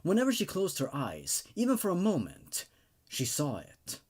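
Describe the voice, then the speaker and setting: A man hosting and narrating horror stories in a theatrical, expressive way, with casual asides. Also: mid-range voice, slightly rough. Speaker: host and narrator of a horror story reading show.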